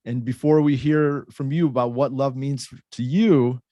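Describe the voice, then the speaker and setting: A man's voice: mid-range voice, steady tone, unhurried, warm tone, no echo. A man hosting a podcast interview, friendly and often stumbling over his words. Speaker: podcast host. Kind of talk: casual interview.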